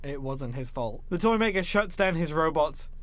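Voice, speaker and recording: A man's voice: a little high, animated, normal speed, a bit bright. A man co-hosting a podcast, chatting casually about films in a jokey, sardonic way. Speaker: podcast co-host. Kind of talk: casual film discussion.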